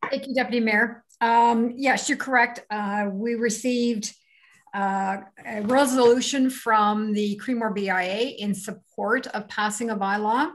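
A woman's voice: fairly high pitch, steady tone, unhurried. Woman clerk reporting to council. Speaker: township clerk. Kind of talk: brief staff report. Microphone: video call.

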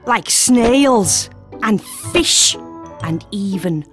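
A woman's voice: mid-range voice, very slow, faint music behind. A woman voicing an eager, boastful young water beetle who chats to the viewer in a children's cartoon. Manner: excitable, chatty, addressing the viewer. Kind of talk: children's cartoon character narration.

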